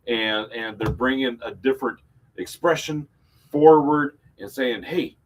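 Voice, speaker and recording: A man's voice: mid-range voice, a little slow, a little hiss or hum, poor audio. A man co-hosting a casual live show, talking with enthusiasm. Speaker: co-host. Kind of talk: casual livestream chat.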